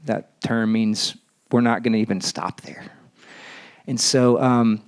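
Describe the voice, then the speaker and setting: A man's voice: lowish voice, steady tone, slow pace, vocal fry, clean tone. Hesitant man on a panel. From American South. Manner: hesitant, many fillers and restarts. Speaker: talent agent, panelist. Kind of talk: panel discussion. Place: festival panel stage.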